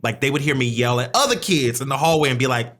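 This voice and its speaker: A man co-hosting a teacher podcast over a video call, talking loudly and animatedly into a desk mic with frequent laughs. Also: mid-range voice, quick pace. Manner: loud, animated, laughs often. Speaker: podcast co-host, former teacher. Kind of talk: casual video-call chat. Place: home room with lamp and plants.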